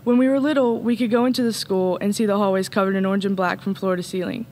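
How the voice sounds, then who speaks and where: A woman's voice: mid-range voice, steady tone, quick pace, a little mellow, a little hiss or hum. Teen girl reading a graduation speech. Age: late teens. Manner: earnest, reading from notes. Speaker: student speaker. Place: empty school auditorium, at a podium. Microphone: podium mic.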